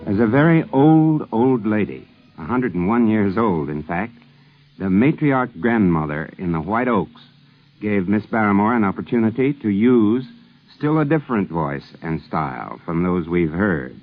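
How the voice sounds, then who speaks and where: A man reading scripted documentary narration in a measured, formal manner. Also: lowish voice, expressive. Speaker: radio narrator. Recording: dull 1950s radio sound.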